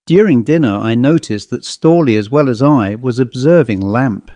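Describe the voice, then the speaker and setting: A man's voice: mid-range voice, expressive, normal speed, mellow, no room sound. A man reading a scripted ghost story as an audiobook narrator.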